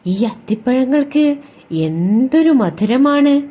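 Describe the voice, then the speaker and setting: A woman's voice: high voice, animated, takes it slowly, dark tone, pitched above the performer's own voice, exaggerated voice. A woman voicing a greedy, bossy female crocodile in a children's story, speaking with ruthless relish. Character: greedy, ruthless crocodile wife craving a monkey's heart.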